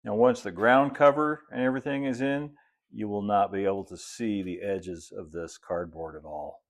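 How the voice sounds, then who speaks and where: A middle-aged American man giving a casual hands-on tutorial, unhurried and folksy, thinking aloud as he works. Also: on the low side. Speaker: host, model railroad builder. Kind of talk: casual hands-on tutorial talk while working. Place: indoor hobby workshop at a cluttered workbench and layout.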